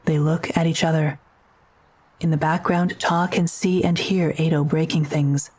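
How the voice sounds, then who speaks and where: A woman's voice: low voice, fairly even intonation, unhurried, a little mellow, husky, poor audio. A woman reading scripted narration for an audio drama as its narrator.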